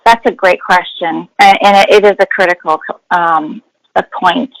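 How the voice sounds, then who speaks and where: A woman's voice: on the low side, steady tone, normal speed, gravelly, no room sound, low-quality recording. A woman speaking as a guest HR expert, explaining in an instructive webinar style with emphasis on key words.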